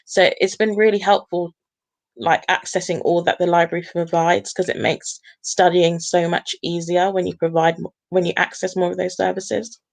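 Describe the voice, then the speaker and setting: A woman's voice: fairly low pitch, steady tone, normal speed, vocal fry. A woman who is a law student, speaking earnestly and steadily on a university panel. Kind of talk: panel discussion.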